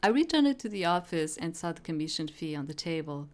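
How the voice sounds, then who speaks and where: A woman's voice: deep voice, very animated, brisk. A woman reading a visual novel aloud as dramatic first-person story narration. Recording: soft music behind the voice.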